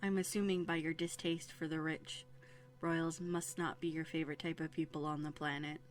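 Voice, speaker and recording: A woman playing a young runaway princess in a roleplay audio drama, bookish and quietly bitter, talking earnestly and hesitantly into a close microphone. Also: low voice, flat delivery, normal speed, soft background music, natural voice. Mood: apologetic, grateful. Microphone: close USB condenser microphone.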